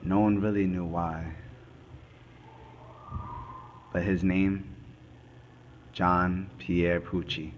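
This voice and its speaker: A man acts as a grave, methodical male investigator in an amateur crime drama monologue. The voice is low, and the phrasing is halting and deliberate. Faint noise sits behind the recording. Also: flat delivery, dull audio. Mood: grave, suspenseful. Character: male forensic investigator recounting a murder case, grave and methodical. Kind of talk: scripted dramatic monologue, amateur student production.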